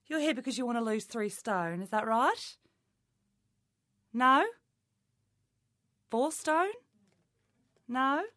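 A woman's voice: on the high side, a bit bright, no echo, clean studio sound. A woman doing a brash, insulting mock-motivational comedy monologue as a life coach. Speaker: comic playing a life coach.

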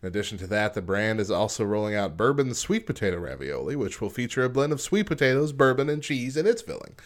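A man's voice: lowish voice, sing-song, quick pace. A man co-hosting a radio show, reading light news and chatting casually, with frequent fillers and restarts.

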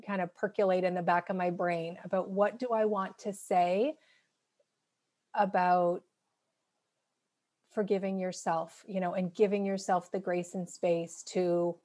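A woman in her late forties talks as a guest author on a podcast. Her pitch sits in the middle range. She is conversational and candid, reflecting casually with a self-deprecating touch. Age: late 40s.

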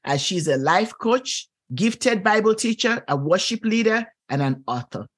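A woman's voice: medium pitch, lively intonation, a little slow, breathy edge. A woman hosting a faith podcast, introducing a guest and asking questions with plenty of fillers and encouraging "mm-hmm"s. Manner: gracious, many fillers and affirming "mm-hmm"s. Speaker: podcast host. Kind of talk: interview hosting.

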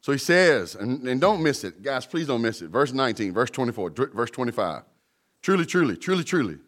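A man in the middle of a sermon, his voice climbing high for emphasis and sinking low and quiet at the end of thoughts. He addresses the congregation directly and teases that he mumbles. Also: very animated, a little fast. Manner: emphatic preaching, calls to the congregation; jokes about mumbling. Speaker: pastor.

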